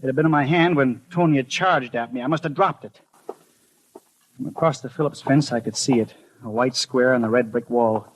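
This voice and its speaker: A man's voice: mid-range voice, fairly quick, slight background noise, close to an everyday voice, rough recording. An actor playing an anxious, imaginative postman who narrates his suspicions and stammers with others, in 1950s radio drama style. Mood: anxious brooding. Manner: hesitant and stammering when speaking to others. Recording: 1950s radio broadcast with music.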